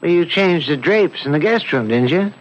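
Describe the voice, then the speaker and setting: An actor playing an easygoing, mildly puzzled ordinary husband in a 1970s radio drama, mild and hesitant, on an old recording. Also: male voice, fairly high pitch, animated, moderate pace, highs cut off, lightly acted.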